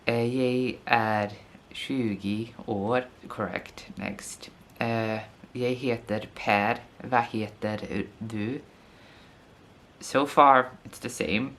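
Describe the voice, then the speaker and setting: A man's voice: medium pitch, fairly even intonation, a bit bright, slight background noise. A young man with a Swedish accent, a language teacher talking casually to camera and sounding out foreign words as he thinks aloud. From Sweden. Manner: slow and musing, sounds out foreign words tentatively. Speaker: language teacher and video host.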